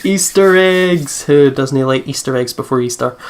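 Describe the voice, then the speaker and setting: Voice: medium pitch, unhurried. A let's play host giving casual, chatty commentary on a visual novel.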